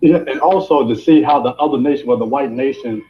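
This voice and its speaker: A man's voice: mid-range voice, fairly quick, a little hiss or hum. A man calling in to ask his teacher a question in an informal, easygoing way. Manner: informal, uses fillers like um and like. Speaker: caller. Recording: dull lo-fi call audio.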